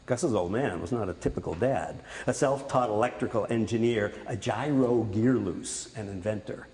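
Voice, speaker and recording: A man's voice: lowish voice, normal speed, darkish tone. A man reading his own literary prose aloud as an author at a public reading. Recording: echoey hall, distant, faint noise.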